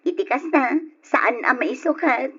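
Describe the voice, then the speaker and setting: High-voiced, affectionate Ilocano daughter. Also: female voice, slow pace, a bit bright. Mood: cheerful anticipation. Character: affectionate young woman, daughter coming home, later grieving. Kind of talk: Ilocano radio drama acting. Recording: dull lo-fi radio recording, music behind.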